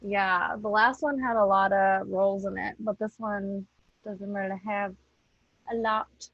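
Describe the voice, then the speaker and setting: A woman's voice: medium pitch, fairly even intonation, unhurried, dry recording. A woman podcast host chatting casually and playfully with swearing, on slightly dull online-call audio. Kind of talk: casual chat.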